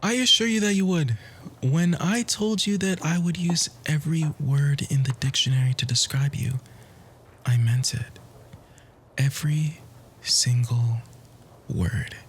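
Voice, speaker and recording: A man playing a charming, composed bookish romantic in a flirtatious ASMR roleplay, speaking softly and breathily in courtly, flowery phrases that often drop to a whisper. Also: a little high, measured pace, a little hiss or hum, natural voice. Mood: tender, flirtatious.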